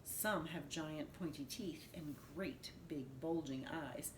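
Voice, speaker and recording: A woman reading a rhyming picture book aloud to young children like a nursery teacher, with deliberate, dramatic phrasing. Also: deep voice. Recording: roomy echo with faint background noise.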